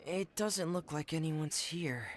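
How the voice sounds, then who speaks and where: A man playing a polite, soft-spoken young male traveler in a video game. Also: a little high, unhurried, lightly acted. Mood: hesitant, polite.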